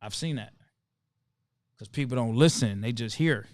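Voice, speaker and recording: A man's voice: medium pitch, dry recording, studio quality. Laid-back man hosting a live chat. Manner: laid-back, calls out viewers by name, drawn-out emphasis. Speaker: livestream host. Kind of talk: casual livestream chat.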